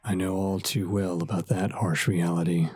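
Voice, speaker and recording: An actor playing an ominous old doll shop owner in a horror radio drama, husky and pointed, with veiled menace. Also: male voice, sits low, level tone, a little mellow, no room sound. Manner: slow, pointed, veiled threats.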